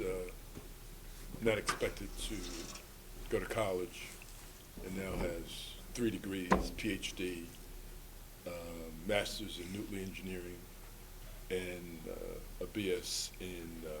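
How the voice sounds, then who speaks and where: Very deep, slow-talking man. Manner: halting, many long pauses. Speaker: panelist. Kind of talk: anecdotal panel discussion. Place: live event panel. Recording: clearly noisy live room.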